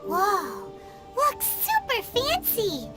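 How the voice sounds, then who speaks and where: An actress voicing a tiny, childlike fairy companion in a video game, perky, eager and squeaky. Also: female voice, huge pitch swings, extremely slow, over loud music, exaggerated voice. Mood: delighted. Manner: chirpy, refers to self in third person.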